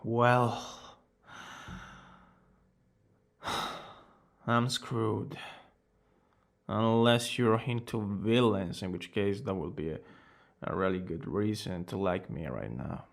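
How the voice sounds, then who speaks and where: A man playing a smug, dramatic young villain student who is secretly smitten, in an ASMR romance roleplay. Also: lowish voice. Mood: embarrassed, sheepish. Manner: dramatic, drawn-out boasting that slips into breathy whispers and flustered exclamations. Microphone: close studio-style microphone.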